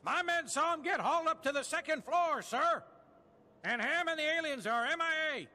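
Tiny toy soldier sergeant: very high, bright, barked military reports, urgent and dutiful. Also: male voice, animated, normal speed, full character voice. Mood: urgent alarm. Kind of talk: animated video game dialogue.

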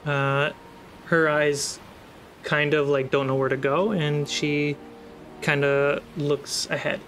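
A man's voice: a little high, little pitch movement, slow, a bit bright. A man narrating a tabletop role-playing game casually as game master. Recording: faint background noise.

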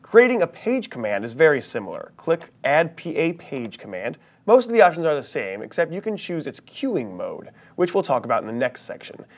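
Animated American man teaching a tutorial. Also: a little high, fairly quick, few pauses. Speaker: software training instructor. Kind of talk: scripted tutorial narration with a conversational touch.

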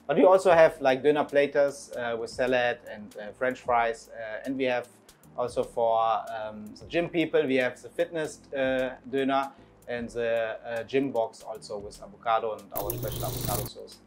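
A man's voice: mid-range voice, moderate pace, faint music behind. Hesitant man with filler-heavy speech. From Portugal, raised in Germany. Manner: hesitant, many ums and uhs. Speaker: restaurant partner and investor. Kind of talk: interview answers. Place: restaurant dining area, indoors. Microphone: lapel mic.

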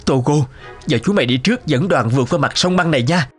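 An actor voicing a resolute, anguished dog musher in a cartoon, with dramatic, emphatic delivery. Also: male voice, fairly high pitch, animated, fast, soft background music, natural voice. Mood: tense, determined. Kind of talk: animated cartoon dubbing.

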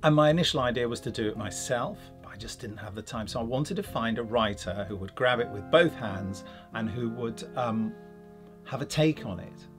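A man's voice: medium pitch, normal speed. A man in his fifties, a director, talking casually in an interview. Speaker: audio drama director. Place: studio room, indoors. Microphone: lapel mic. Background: music.

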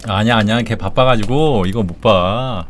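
A man's voice: on the low side, normal speed, slight background noise. A man in his forties, a game streamer, chatting casually with viewers in a joking, rambling way with blunt swearing. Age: 40s. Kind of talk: casual live-stream chat while gaming.

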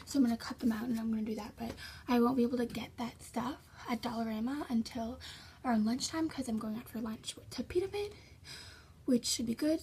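Teen vlogger, bright high voice, slightly hoarse, chatty, phone-at-arm's-length sound with faint room noise. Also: female voice. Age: teens. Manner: chatty, lots of fillers, upbeat. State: slightly hoarse, losing her voice. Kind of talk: casual vlog. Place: house, indoors and on a back deck. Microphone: phone camera at arm's length.

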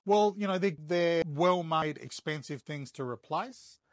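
A man in his fifties with an Australian accent, explaining things casually in a measured, matter-of-fact way with frequent hesitations. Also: on the high side, no room sound, studio quality. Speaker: auction house operator. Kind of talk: casual explanatory interview talk. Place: indoors, warehouse auction rooms and workshop.

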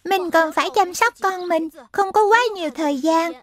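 A woman voicing a small, gentle rabbit who reasons in a childlike way, in a dubbed children's cartoon. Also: extremely high pitch, normal speed. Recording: music behind the voice.

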